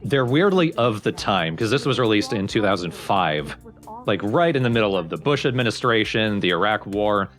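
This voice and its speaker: A man's voice: fairly low pitch, expressive, moderate pace, a bit bright. A man giving casual, explanatory let's play commentary on a video game. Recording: game music behind commentary.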